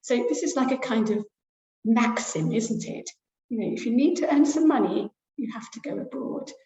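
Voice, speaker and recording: A middle-aged woman lecturer giving an academic webinar talk over a video call, pausing often with fillers as she thinks aloud. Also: fairly high pitch, lively intonation, dark tone. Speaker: university lecturer presenting research. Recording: roomy, dull lo-fi webinar audio.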